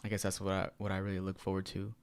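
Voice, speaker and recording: A man's voice: low-pitched, monotone, rapid-fire, clear, resonant tone, no echo. A young man, a native Spanish speaker, co-hosting a podcast in informal, slangy, casual conversation. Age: young adult. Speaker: podcast co-host. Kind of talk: casual chat.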